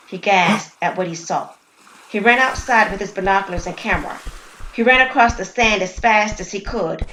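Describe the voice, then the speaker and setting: A woman performs a scripted audio story as its narrator. Her voice sits at a middle pitch, with deliberate, theatrical delivery. The room adds clear echo, with faint noise behind her.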